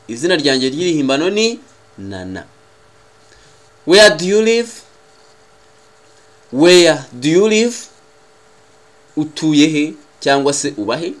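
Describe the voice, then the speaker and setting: A man with a Rwandan accent, a native Kinyarwanda speaker, teaching a language lesson in a deliberate, carefully enunciated way as he repeats phrases. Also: fairly high pitch, fairly bright tone. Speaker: English teacher.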